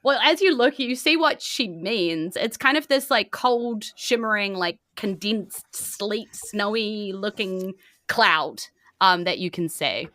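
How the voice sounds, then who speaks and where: A New Zealand woman acts as game master, improvising the story in an animated, colloquial way. Her voice is bright and forward at a medium pitch, recorded dry and close. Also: takes it slowly, no room sound. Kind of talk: improvised tabletop game narration.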